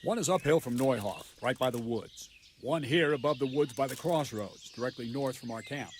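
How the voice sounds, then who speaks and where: An actor voicing a grim, practical medieval captain in a video game, with measured, instructive delivery. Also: male voice, medium pitch, noisy background, lightly acted. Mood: businesslike.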